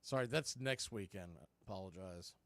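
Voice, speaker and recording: A man doing live baseball play-by-play, mixing clipped pitch calls with conversational asides about other games. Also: moderate pace. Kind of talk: live sports play-by-play commentary with scoreboard asides.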